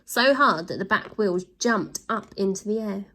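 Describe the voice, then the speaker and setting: A woman reading a children's storybook aloud, with lively voices and sound effects. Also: mid-range voice, moderate pace. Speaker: storybook reader.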